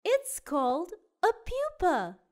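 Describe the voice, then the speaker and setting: Woman voicing a high, singsong mother cat. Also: huge pitch swings, slow, exaggerated voice. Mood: reassuring. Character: gentle, reassuring mother cat in storybook.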